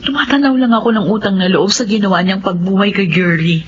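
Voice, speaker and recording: A woman's voice: medium pitch, fast talker, close to an everyday voice. An actress playing a stubborn, devout, defiant Filipino wife and mother in a radio drama. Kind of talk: radio horror drama acting. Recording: dull radio sound, faint noise.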